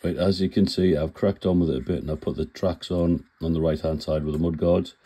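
A deep-voiced man talks through his model build in a chatty, plain-spoken way, often stopping to correct himself. He has a cold, and his very dark, mellow tone sits low. He sounds like he is recorded indoors at his workbench. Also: steady tone, brisk, husky. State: full of cold. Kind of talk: casual build tutorial.

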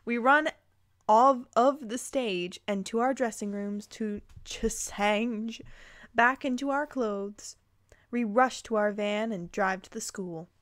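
A woman reading a story aloud informally, breaking in with expressive, amused reactions and exclamations. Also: fairly high pitch, takes it slowly, dry recording, clean studio sound. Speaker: host reading fan fiction aloud with commentary.

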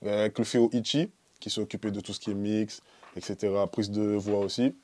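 Low-voiced young man, casual and slangy. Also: level tone, a little fast. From French Antilles (born in Guadeloupe, lived in Martinique and French Guiana). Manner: slangy, informal, peppered with "tu vois" and shout-outs. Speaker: recording artist being interviewed. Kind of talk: casual interview talk to camera. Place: small dark recording studio, indoors.

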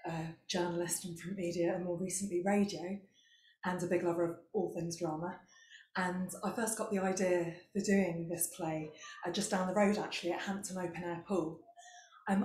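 A woman's voice: on the low side, a little mellow. A woman informally introducing her own work as a writer. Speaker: competition finalist, playwright. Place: indoors, large parlour with fireplace. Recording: roomy echo.

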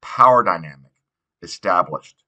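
Male audiobook narrator, slightly low pitch, bright forward tone, reading teen fiction. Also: expressive, a little slow. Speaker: audiobook reader. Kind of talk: read narration of a young adult novel.

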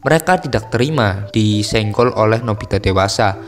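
A man's voice: lowish voice, lively intonation, moderate pace, in a large hall. Indonesian man narrating over music. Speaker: narrator of film recaps. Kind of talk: casual scripted story recap narration.

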